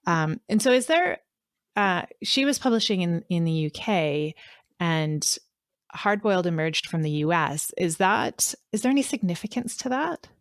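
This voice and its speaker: A woman's voice: fairly low pitch, animated, normal speed, dry recording, studio quality. A woman hosting a podcast, interviewing conversationally with tentative, thoughtful phrasing. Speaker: podcast host.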